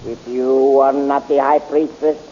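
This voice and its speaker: A man's voice: mid-range voice, flat delivery, measured pace, mellow, lightly acted. An actor playing a cruel, haughty male tyrant emperor in a 1930s radio serial, commanding and menacing, on a muffled old recording with hiss.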